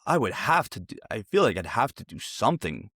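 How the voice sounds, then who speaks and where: A man's voice: on the low side, sing-song, brisk, a bit bright, no room sound, studio quality. A man in his twenties hosting a casual sports interview, talking in a rambling, digressive way. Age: 20s.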